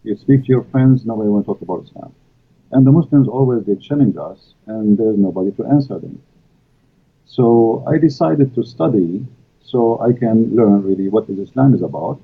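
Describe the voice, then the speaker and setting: A man's voice: fairly low pitch, animated, normal speed, vocal fry, breathy edge. A man whose first language is Arabic, from the Middle East, speaking as a guest in hesitant, filler-laden English with non-native grammar over a call line. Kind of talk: casual live-stream conversation. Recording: tinny call audio.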